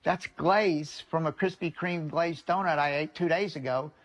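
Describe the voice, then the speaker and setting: A man's voice: on the high side, steady tone, normal speed, muffled recording. A man telling a personal anecdote in a storytelling style, in a played clip. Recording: clip with clear music underneath. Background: music.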